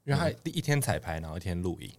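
A man's voice: deep voice, very animated, racing. A man chipping into a casual chat.

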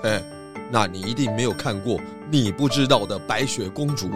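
A man with a Taiwanese Mandarin accent voicing a calm male pig detective in a children's audio drama, measured and good-humoured. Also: lowish voice, over music, close to an everyday voice. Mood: teasing, warning.

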